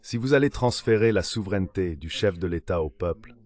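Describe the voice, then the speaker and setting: A man with a low-pitched voice reads a translated political discussion as a voice-over. His delivery is measured and sounds scripted. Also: lively intonation, darkish tone.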